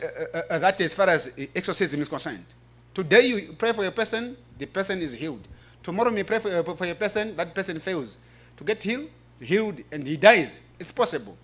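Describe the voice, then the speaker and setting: A man's voice: high-pitched, fairly bright tone. A man presenting at a religious forum, explaining in a preaching style and repeating phrases for emphasis.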